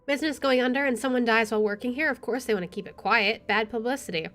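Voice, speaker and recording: A woman's voice: on the high side, fast, fairly bright tone. A young adult woman streaming a game, reading a visual novel aloud with casual commentary. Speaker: gaming streamer.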